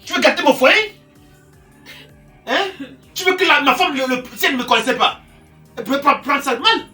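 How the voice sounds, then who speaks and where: An indignant, menacing husband. He badgers quickly in a high-set, swinging voice, in an echoey room. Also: expressive, sharp tone, exaggerated voice. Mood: threatening, defensive. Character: blustering, defensive husband and uncle, indignant and menacing. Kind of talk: screen drama acting in French. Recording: echoey room, distant mic, faint music.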